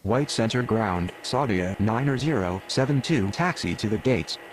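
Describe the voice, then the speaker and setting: Over a clearly noisy background with vehicle rumble, an actor plays a businesslike man on airport radio. His voice is on the low side, and his delivery is procedural and formulaic. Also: animated, normal speed, natural voice. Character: businesslike male pilot and controller on airport radio. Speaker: air traffic radio voice. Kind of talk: scripted aviation radio phraseology.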